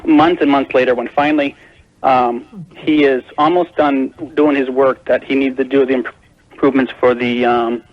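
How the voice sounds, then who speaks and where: A man's voice: mid-range voice, fairly even intonation, moderate pace. A man calling a radio show, explaining his problem in a careful, wordy, hesitant conversational way. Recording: dull lo-fi call on radio tape.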